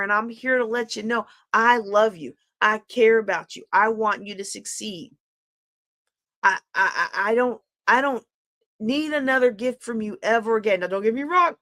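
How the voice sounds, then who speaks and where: A woman's voice: fairly high pitch, a bit bright. A middle-aged woman coach giving a candid live-streamed motivational talk, repeating phrases and asking her viewers questions. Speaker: life coach.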